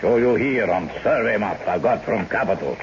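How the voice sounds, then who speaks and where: An actor playing a huge, stubborn foreign settler in a radio drama, blunt and terse in broken, accented English. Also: male voice, lowish voice, animated, moderate pace. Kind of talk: old-time radio drama acting. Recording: dull, faintly noisy vintage radio broadcast.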